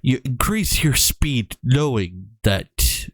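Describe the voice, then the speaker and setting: Guy streamer reading creepy stories, slow, dry mic, mid pitch, casual w/ wry little asides. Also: big pitch swings, no room sound. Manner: stumbles over text and corrects himself, wry joking asides. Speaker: streamer, VTuber host. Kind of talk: casual reading of a horror story aloud with asides.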